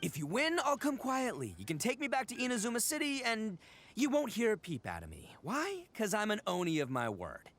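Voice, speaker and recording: An actor playing a loud, big-hearted oni gang boss who blusters, laughs a lot and stammers when caught out. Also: male voice, high-pitched, wildly swooping pitch, fairly quick, exaggerated voice. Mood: eager. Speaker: game character. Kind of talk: video game voice acting. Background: faint game music.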